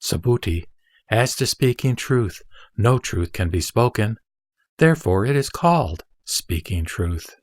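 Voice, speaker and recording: A man playing the Buddha as a serene, authoritative teacher, with deliberate, emphatic phrasing in a scriptural audio drama. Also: on the low side, expressive, no room sound. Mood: calm, instructive.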